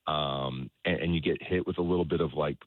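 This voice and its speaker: A man speaking as a soccer coach in an interview, explaining things in a halting way, repeating words and often checking in with the listener. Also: extremely low pitch, steady tone. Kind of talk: conversational sports interview, explaining his coaching philosophy. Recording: roomy echo, dull lo-fi sound.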